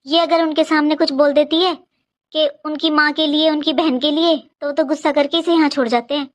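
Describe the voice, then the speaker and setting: A woman's voice: high-pitched, fairly even intonation, fairly quick, close to an everyday voice. A woman voicing a worried, complaining elderly Indian mother in a cartoon family skit, plaintive and explanatory.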